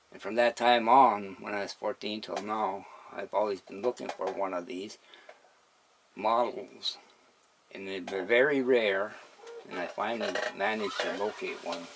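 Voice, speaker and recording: A man's voice: lowish voice, fairly bright tone. An older man giving an unscripted, hesitant demonstration as a hobbyist explaining his equipment in plain terms. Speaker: hobbyist radio restorer demonstrating a set. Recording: noisy home video with background hiss.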